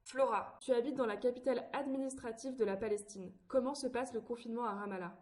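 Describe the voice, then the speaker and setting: A woman's voice: fairly high pitch, fairly even intonation, quick pace, darkish tone. A woman reading scripted narration and questions as the host of a podcast.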